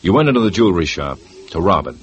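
A calm, firm detective. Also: male voice, low-pitched, fast, lo-fi sound, slight background noise. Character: calm, persistent police detective. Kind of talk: 1950s radio drama acting.

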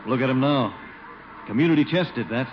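A man's voice: medium pitch, brisk, lightly acted. An actor playing a friendly, proud father, hearty and sincere, in an old radio comedy drama. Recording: muffled, noisy old radio recording.

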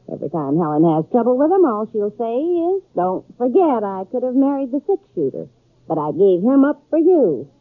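An American actress playing a shrewd, plain-spoken frontier matchmaker in a 1950s radio western, folksy, knowing and teasing. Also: female voice, fairly high pitch, sing-song, moderate pace, no room sound. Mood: wry, teasing. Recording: dry, narrow-band 1950s radio recording.

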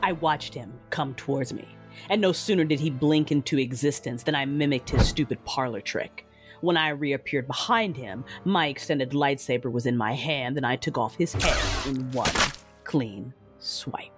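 A woman playing a vengeful, grieving Sith ruler, narrating an audio drama in the first person in a measured, solemn, menacing way. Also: very deep voice. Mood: cold fury. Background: music.

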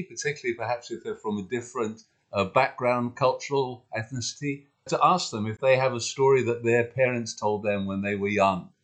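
Brief: mentor-type man, mid-pitched, unhurried and encouraging. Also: a bit bright. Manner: measured, encouraging. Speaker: experienced storyteller and mentor. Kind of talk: podcast discussion.

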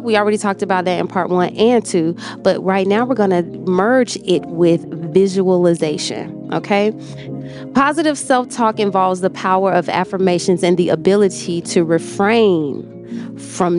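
A woman's voice: mid-range voice, measured pace, music in the background. A woman hosting a motivational livestream, talking affectionately to her viewers, repeating phrases and often checking in with "okay?". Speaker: host.

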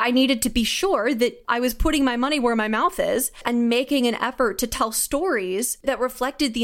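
A young woman speaking conversationally in an interview as a writer and creator. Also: on the high side. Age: young adult. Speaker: audio drama writer and creator.

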